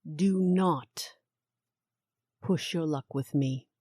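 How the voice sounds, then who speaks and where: A stern, icy female official, played by an actress in a fantasy audio drama. Her voice is lowish and mellow, and she talks very slowly in a curt, deadpan way that allows no nonsense. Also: no echo, studio quality, full character voice. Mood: cool, stern.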